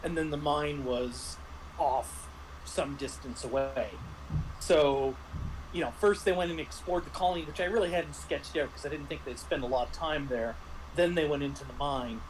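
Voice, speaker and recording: A man casually recounting tabletop game sessions as game master, speaking haltingly and often clearing his throat. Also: a little high. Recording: clearly noisy background.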